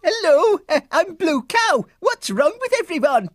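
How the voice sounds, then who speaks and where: A woman's voice: very high voice, very animated, unhurried, no echo, studio quality, pitched above the performer's own voice, exaggerated voice. Blue Cow, a woman doing a wide-eyed mooing cow. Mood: curious. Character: curious cheerful young female cow.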